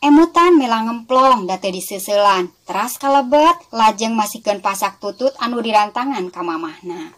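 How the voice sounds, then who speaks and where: Woman storyteller, West Java Sundanese accent, fairly high voice, expressive scripted narration for children. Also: moderate pace, few pauses. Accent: Sundanese-speaking West Java.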